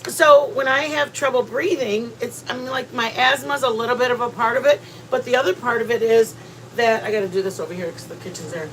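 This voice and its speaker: Lady rambling on her home livestream, kinda congested, highish voice. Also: fairly quick, fairly bright tone, a little hiss or hum, poor audio. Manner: rambling and chatty, with many restarts. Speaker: livestream host. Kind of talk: casual livestream chat. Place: at home, near the kitchen.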